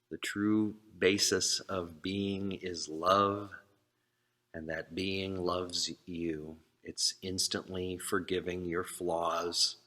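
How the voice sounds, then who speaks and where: A man preaching a sermon as a minister, speaking earnestly in short, deliberate phrases. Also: deep voice, pushed and bright.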